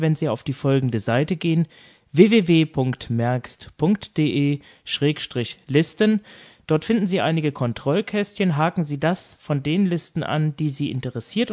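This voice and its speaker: Guy, voice a bit high, podcast host, polite and orderly, does questions and announcements. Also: darkish tone.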